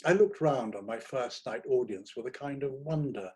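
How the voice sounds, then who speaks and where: A man narrating a first-person short story in a theatrical, expressive manner, savouring his words. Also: mid-range voice, moderate pace, slightly rough. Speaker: audiobook narrator.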